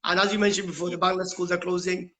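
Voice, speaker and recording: High, bright-voiced British man, explaining earnestly. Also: flat delivery, fast talker, slight background noise. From United Kingdom. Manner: rhetorical questions, tags points with "right?". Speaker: interview guest, school principal. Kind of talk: earnest, explanatory interview talk.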